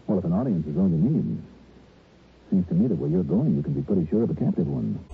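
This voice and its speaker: A man's voice: low voice, animated, quick pace, gravelly, slight background noise. An actor playing a wry, unruffled investigator who narrates his case conversationally in an old radio detective drama. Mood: dryly sardonic. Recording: tinny old radio broadcast with music.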